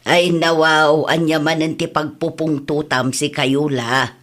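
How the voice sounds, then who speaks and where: A woman's voice: sits low, little pitch movement, measured pace, a bit bright. A woman playing an Ilocano-accented village mother in a comedy-drama, chatting about everyday matters. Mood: plain, chiding.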